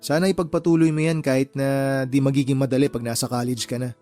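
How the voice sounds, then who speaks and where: Casting: male actor as modest, encouraging uncle, average pitch, gentle advising manner, radio drama with light music. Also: little pitch movement, fast, natural voice. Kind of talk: Tagalog radio drama dialogue.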